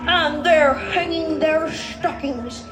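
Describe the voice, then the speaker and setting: A woman voicing a grumpy, scheming male storybook creature with a snarling, gloating, drawn-out delivery. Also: high-pitched, fairly even intonation, pitched below the performer's own voice. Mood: sneering. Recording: echoey live show, music and crowd.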